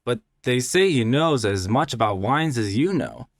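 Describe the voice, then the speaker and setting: A man's voice: medium pitch, expressive, normal speed, no echo, clean studio sound, voice pushed up. A man voicing a falsely friendly, scheming nobleman in a storybook reading, coaxing and politely solicitous. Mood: sly coaxing.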